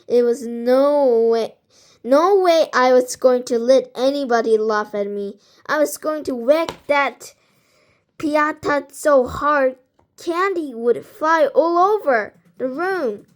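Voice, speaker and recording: A woman reading a children's storybook aloud in a halting way, stumbling over some words. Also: high-pitched. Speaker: amateur book reader.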